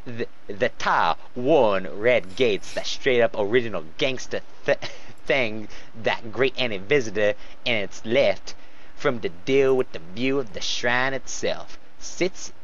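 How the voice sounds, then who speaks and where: A man's voice: mid-range voice, a little slow, fairly bright tone. A man casually reading fan fiction aloud and joking about it, on a lo-fi mic. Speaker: fan fiction reader and co-commentator. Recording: dull lo-fi mic, faint background noise.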